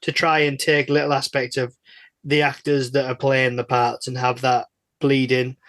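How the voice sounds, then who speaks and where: A man's voice: mid-range voice, flat delivery, moderate pace, a bit bright, no echo. Rambling male podcast interviewer, dry sound. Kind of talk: casual conversational interviewing.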